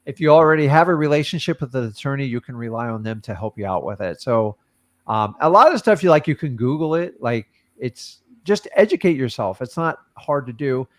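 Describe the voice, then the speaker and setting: A man hosting a coaching call, giving blunt and casual advice to students over a video call. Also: medium pitch. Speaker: host and wholesaling coach.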